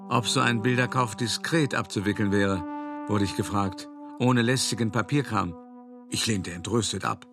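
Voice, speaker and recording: A man playing a shrewd, worldly art dealer who recounts his past in a radio drama, in a wry, measured, conversational way. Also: lowish voice, music in the background, lightly acted. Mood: sly, pleased. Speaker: narrator. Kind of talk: radio drama monologue, first-person storytelling.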